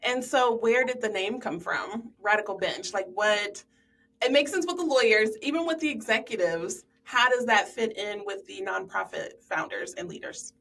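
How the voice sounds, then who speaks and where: A woman's voice: mid-range voice, moderate pace, creaky, a bit bright, recorded from a distance. A woman hosting a podcast interview, asking questions and affirming her guest with enthusiasm. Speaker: podcast host. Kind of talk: casual interview chat.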